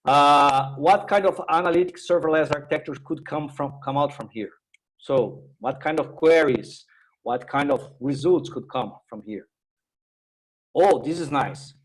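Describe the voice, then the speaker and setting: A man from Brazil with a Brazilian accent and Portuguese as his native language, giving an informal online tech presentation in a halting, repetitive way with "right?" tags. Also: a little high, rough recording. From Minas Gerais, Brazil. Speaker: technical presenter and workshop instructor.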